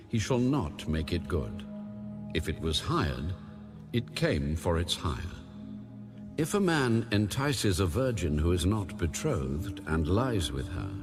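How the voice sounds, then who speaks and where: A man reading scripture as a scripted audio narration, formal, solemn and measured in delivery. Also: deep voice. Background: music under the voice.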